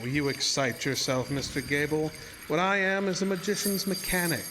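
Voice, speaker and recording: An actor playing a politely menacing carnival illusionist in a horror audio drama, speaking in a formal, measured, mock-courteous way. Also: male voice, a little high, flat delivery, noisy background, close to an everyday voice. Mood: quietly menacing. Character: sinister, politely menacing male carnival dark-ride illusionist.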